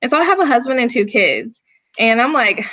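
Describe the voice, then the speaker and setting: A woman's voice: on the high side, expressive, brisk, sharp tone. Laid-back Southern woman in her thirties. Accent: Southern American. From North Carolina. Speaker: guest, firearms instructor. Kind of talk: casual interview answers.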